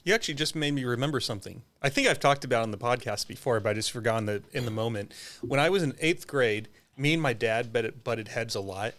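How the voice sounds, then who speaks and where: A man's voice: mid-range voice, animated, fast, a bit bright. A man hosting a podcast in a casual, joking conversation. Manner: joking, teasing. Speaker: podcast host.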